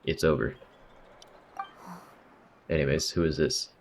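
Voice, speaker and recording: Low-voiced man, wry gaming streamer. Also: steady tone, slow pace, gravelly, slight background noise. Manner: slangy, wry, offhand running commentary. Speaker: livestreamer. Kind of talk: casual gaming stream commentary.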